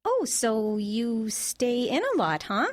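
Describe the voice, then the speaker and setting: A woman playing a hesitant, wary younger amateur sleuth in an audio drama, speaking in a halting, tactful way. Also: fairly high pitch, big pitch swings. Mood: awkward, probing.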